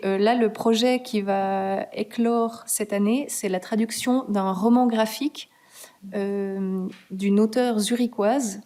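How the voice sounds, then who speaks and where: A woman from Switzerland, speaking as a guest author at a university talk. She answers in a hesitant, searching way, full of fillers, restarts and light laughter, at an ordinary middle pitch. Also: warm tone. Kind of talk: conversational Q&A answers. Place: university meeting room.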